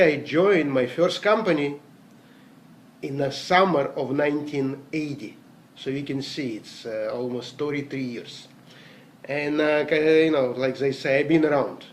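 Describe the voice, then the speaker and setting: A man's voice: on the high side, a little hiss or hum. A man, giving an earnest, rambling motivational talk about network marketing success, often correcting himself mid-sentence. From Latvia. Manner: earnest, rambling, self-correcting, non-native grammar. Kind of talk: motivational talk with personal stories.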